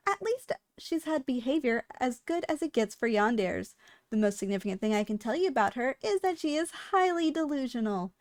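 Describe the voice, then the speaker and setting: A woman's voice: high-pitched, dry recording. A woman playing a polite, businesslike shop clerk in a scripted ASMR roleplay, with formal sales patter and dry understatement. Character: polite, businesslike female shop clerk selling dangerous yandere girls.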